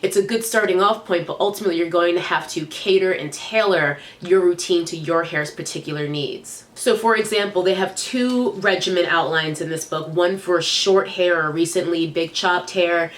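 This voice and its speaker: A woman's voice: fairly low pitch, moderate pace, hardly any pauses. A young woman reviewing hair products in a chatty, upbeat vlog, sounding congested and nasal from a cold. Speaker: beauty vlogger. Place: small room indoors.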